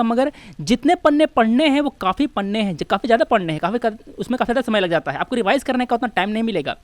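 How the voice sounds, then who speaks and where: A man's voice: very high-pitched, dry recording. A man with an Indian accent teaching an exam-prep lesson conversationally, spelling out each step and repeating points. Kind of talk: explanatory lesson with informal asides.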